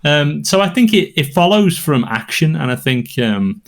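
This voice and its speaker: A man's voice: a little high, sing-song, moderate pace, vocal fry. A man with a Liverpool accent, a songwriter and podcast co-host, answering questions in a thoughtful, rambling way. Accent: Liverpool English. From England. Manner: thoughtful, rambling, with fillers and restarts. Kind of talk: conversational interview answers.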